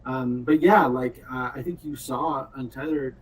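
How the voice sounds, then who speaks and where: A man's voice: mid-range voice, unhurried, husky. Chatty man a little off the mic. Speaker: game developer being interviewed. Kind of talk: casual chat. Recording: distant mic, faint room noise.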